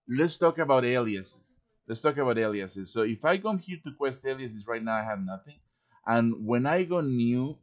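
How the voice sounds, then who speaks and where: Man casually teaching a live tutorial. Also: mid-range voice. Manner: chatty, explains step by step with check-in tags. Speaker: host and instructor of a livestream tutorial. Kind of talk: informal live software tutorial.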